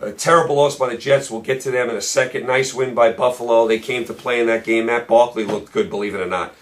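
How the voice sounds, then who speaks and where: A man hosting a solo sports picks show, hoarse and losing his voice, talking emphatically and repeating points for stress. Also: medium pitch, fairly even intonation, fast.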